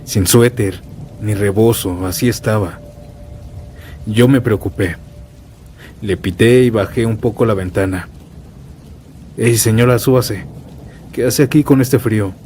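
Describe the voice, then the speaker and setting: A man's voice: fairly low pitch, measured pace, pauses often. A man narrating scripted first-person horror stories in a solemn, dramatic way, as a storyteller. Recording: steady rain and thunder noise bed. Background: rainstorm with thunder.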